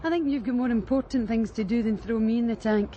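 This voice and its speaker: A woman acts a brash, matter-of-fact Glaswegian streetwalker in a radio drama. Her voice is on the high side, and she talks frankly and breezily. Faint urban street noise runs underneath. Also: fast talker, dark tone, muffled recording, lightly acted. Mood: brash, dismissive. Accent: Glaswegian Scottish. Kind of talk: naturalistic radio drama acting.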